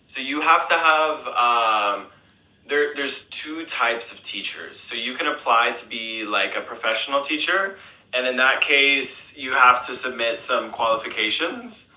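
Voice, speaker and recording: An American man, an English teacher, answering interview questions in a relaxed, rambling way, full of fillers and restarts. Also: mid-range voice, measured pace, echoey room, rough recording. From United States.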